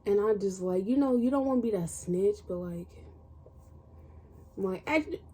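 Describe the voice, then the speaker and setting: A woman's voice: medium pitch, unhurried, warm tone, slightly rough. A woman vlogger telling a personal story in casual, colloquial speech, acting out what people said. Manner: informal, colloquial phrasing.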